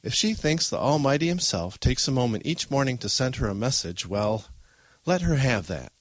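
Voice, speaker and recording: Storybook mom voiced by a man: middle-aged, no-nonsense, firm, higher register. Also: big pitch swings, normal speed, dry recording. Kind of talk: audiobook character voice.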